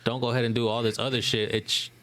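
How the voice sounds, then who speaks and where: A man's voice: mid-range voice, little pitch movement, quick pace, a bit bright, rough recording. A young man in a casual podcast discussion, giving his opinions in slangy, sweary talk. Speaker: podcast co-host. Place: small indoor room, group around table. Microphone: desk podcast microphone.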